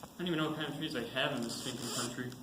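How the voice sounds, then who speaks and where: A man's voice: medium pitch, racing, close to an everyday voice. A man playing an informal, griping young army soldier in an amateur stage play. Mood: joking, griping. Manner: informal, grumbling. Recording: echoey stage with clear background noise.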